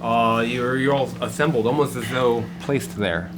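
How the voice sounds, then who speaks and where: A man running a tabletop game as game master, narrating in a halting way with many fillers. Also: medium pitch, fairly even intonation, over music. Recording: background noise.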